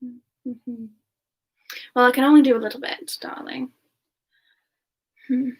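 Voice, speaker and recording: A woman acts out a sweet young female character hosting a soft semi-ASMR livestream. Her voice is high and her pace is leisurely. She is gentle and affectionate, treating each listener as a dear friend. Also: fairly even intonation, slow pace, breathy edge, exaggerated voice, rough recording. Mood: sweet, shy. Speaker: streamer answering chat and singing requests.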